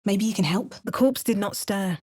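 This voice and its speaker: A woman voicing a wry, watchful female adventurer for a video game. She makes understated remarks and narrates events calmly, like a storybook. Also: mid-range voice, normal speed, slightly rough, dry recording, studio quality, close to an everyday voice. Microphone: close studio mic.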